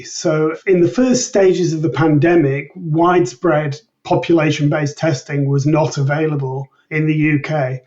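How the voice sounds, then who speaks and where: A man giving measured, precise, methodical explanations as a researcher being interviewed. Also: on the high side, a little mellow, far from the mic, muffled recording.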